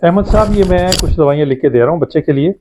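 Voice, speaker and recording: A somewhat high-voiced man acting as a family doctor. He is polite and instructive, stressing his advice clearly in a moral family drama. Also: dark tone, a little hiss or hum, lightly acted. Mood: advising. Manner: instructive, emphatic.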